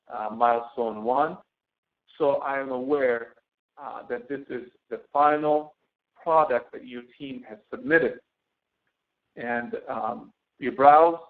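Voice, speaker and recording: A man giving an informal screen-share tutorial as a course instructor, speaking haltingly and often repeating words. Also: medium pitch, creaky. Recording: thin, phone-like narrowband audio.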